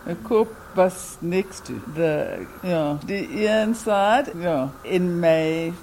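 Man, very slow, halting, searches for words, faint insect ambience. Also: sits high, a little mellow, a little hiss or hum. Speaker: interviewee. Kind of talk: halting recollection in a second language.

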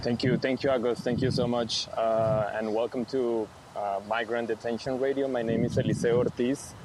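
A man's voice: medium pitch, steady tone, normal speed, background noise. A man from Mexico hosting a live radio broadcast from outdoors, speaking thoughtfully with frequent restarts. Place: outdoors near a detention center, street traffic.

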